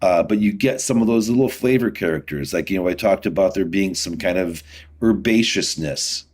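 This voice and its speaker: A middle-aged man with a fairly low voice speaks as a guest on a casual podcast. He explains his craft in an easygoing, rambling manner, as in relaxed conversation. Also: fairly quick. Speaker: podcast guest, whiskey distiller. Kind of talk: casual interview talk.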